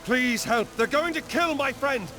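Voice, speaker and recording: An actor playing a desperate, pleading scoundrel held captive in a video game. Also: male voice, very high voice, unhurried, music in the background. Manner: urgent, pleading.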